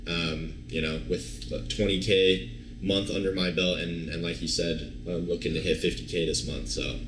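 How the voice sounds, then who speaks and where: A man of twenty-two telling his story as an interview guest, relaxed and rambling with lots of fillers and restarts. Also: deep voice, normal speed, noisy background. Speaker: guest, online fitness coach. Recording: roomy echo.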